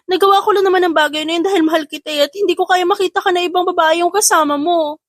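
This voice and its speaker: A woman's voice: very high voice, steady tone, racing, no echo, studio quality, pitched above the performer's own voice. A woman playing a pleading, lovesick schemer in a Tagalog audio drama. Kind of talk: scripted audio drama acting.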